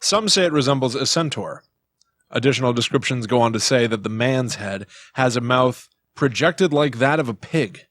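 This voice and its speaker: A man's voice: mid-range voice, expressive, moderate pace, fairly bright tone, dry recording. Casual male podcast host, dry close sound. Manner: informal, joking, uses playful quotes. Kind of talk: casual storytelling chat with a co-host.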